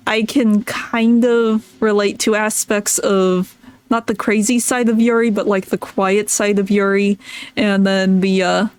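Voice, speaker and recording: A woman's voice: mid-range voice, clean tone, dry recording. A woman streamer, a native English speaker, chatting shyly while playing a game; she gets tongue-tied and giggles often. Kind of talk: casual game commentary.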